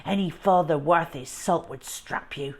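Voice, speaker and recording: A woman voicing a stern, angry father for a children's storybook, scolding his young son in a sharp, emphatic way. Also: low voice, unhurried. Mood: furious.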